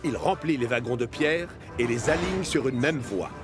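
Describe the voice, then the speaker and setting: A man narrates a children's cartoon with expressive, deliberate phrasing. His voice is medium in pitch, with music playing behind it. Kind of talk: scripted children's storytelling narration.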